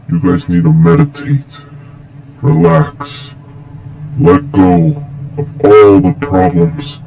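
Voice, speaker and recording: A man voicing a grave, menacing cyborg Sith mentor in an amateur fan film, lecturing slowly and solemnly with a gravelly voice. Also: on the low side, muffled recording, a little hiss or hum, full character voice. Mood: solemn counselling. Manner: slow, solemn, instructive. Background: music.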